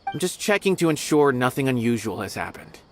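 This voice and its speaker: A man's voice: mid-range voice, very animated, a little fast, a little hiss or hum, lightly acted. A man playing an earnest, upright young investigator in a video game, speaking formally. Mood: polite, inquiring. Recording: game music behind voice.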